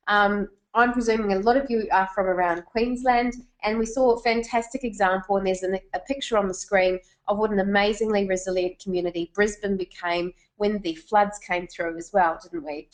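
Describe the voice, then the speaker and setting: A woman's voice: mid-range voice, steady tone, moderate pace, few pauses, low-quality recording. Kiwi-accented woman running an online business seminar, friendly and talkative, keeps checking in with the audience. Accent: New Zealand. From New Zealand. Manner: chatty, asks the audience questions. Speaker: webinar presenter. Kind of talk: presenting a business webinar.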